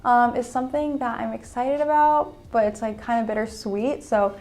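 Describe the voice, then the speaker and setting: Young woman, slightly high voice, casual vlog chat, upbeat and rambling, a little sick. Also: normal speed, a little mellow. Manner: chatty, upbeat, many fillers like "like" and "um". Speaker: sewing and fashion channel host. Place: indoors at home with sketchbook and iPad.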